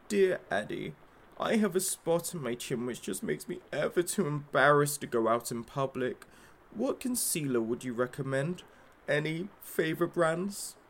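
A man's voice: on the high side, animated, unhurried, creaky, full character voice. Actor as a sneering, theatrical, swooping male captor. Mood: mocking. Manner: mocking, sarcastic, pompous. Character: menacing, sneering, theatrical captor interrogating a young influencer. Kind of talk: audio drama villain monologue.

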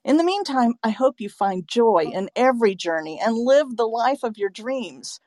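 A woman's voice: on the high side, animated, moderate pace, darkish tone, no echo. Woman hosting, dry close sound. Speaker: host. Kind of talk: hosting a live audio chat show.

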